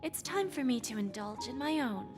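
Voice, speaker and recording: A woman voicing a breathy, scheming pawnbroker. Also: fairly high pitch, lively intonation, lightly acted. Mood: sly. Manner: smooth, unhurried, teasing. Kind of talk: video game voice acting. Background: game music.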